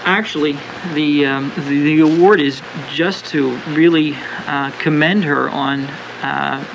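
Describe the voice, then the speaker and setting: A man giving earnest radio interview remarks, speaking haltingly and repeating himself. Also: on the high side, fairly even intonation, vocal fry, muffled recording. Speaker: interview guest, fan club member. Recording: noisy home cassette of radio broadcast.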